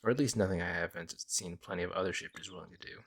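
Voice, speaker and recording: A man playing a friendly young werewolf, a lone wolf who talks casually and teases gently in an ASMR audio roleplay. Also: low voice, very fast, creaky, heavily acted. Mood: casual, musing. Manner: casual, hesitant musing, gently teasing. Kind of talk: ASMR audio roleplay, intimate conversational acting.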